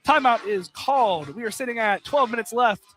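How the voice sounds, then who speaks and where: A man's voice: extremely high pitch, fairly quick, a bit bright. A man calling live college basketball play-by-play in clipped phrases, naming players by number. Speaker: play-by-play announcer. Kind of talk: live basketball radio commentary. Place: indoor college field house, courtside. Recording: noisy live gymnasium broadcast.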